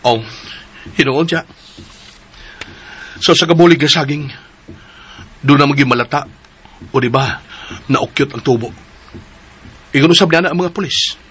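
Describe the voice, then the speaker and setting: Searching husband, husky bright voice, earnest, radio drama. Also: male voice, fairly high pitch, expressive, a little slow, pauses often, full character voice. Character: young husband searching for his missing wife. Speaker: nephew.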